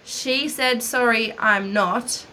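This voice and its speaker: Woman, podcast co-host, blunt and swearing, bright higher voice. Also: takes it slowly, slight background noise, low-quality recording. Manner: blunt, laughing, swears freely. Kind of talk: casual chat.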